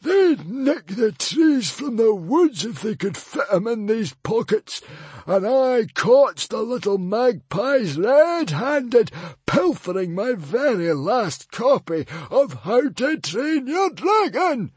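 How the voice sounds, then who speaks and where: Shrill hissing villain librarian, whiny, wild swings in pitch, storybook. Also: male voice, expressive, slow pace, a little mellow, husky, no echo, full character voice. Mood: menacing, screeching.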